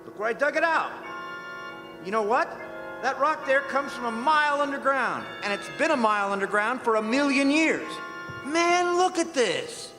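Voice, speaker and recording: Man playing a very high, excitable rig worker. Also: moderate pace. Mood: excited, insistent. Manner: urgent, emphatic exclaiming. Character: excitable, insistent male oil-rig worker. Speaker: actor in a radio play. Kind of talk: radio horror drama performance. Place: performing arts center stage. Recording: echoey hall stage recording with music.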